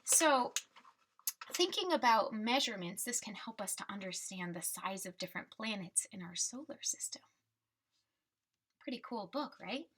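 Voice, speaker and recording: A woman reading a children's picture book aloud and explaining it simply, in a deliberate teaching manner. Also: mid-range voice, animated, fairly bright tone, husky.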